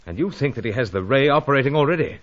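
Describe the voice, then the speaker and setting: Proper british spy hero guy acting, very animated, old fashioned adventure serial, dull lo-fi recording. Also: mid-range voice, racing, close to an everyday voice. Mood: probing. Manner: clipped, old-fashioned, gentlemanly. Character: gallant British male secret agent, earnest and proper. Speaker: hero and narrator. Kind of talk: dramatic adventure radio serial acting and narration. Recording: dull lo-fi radio serial audio.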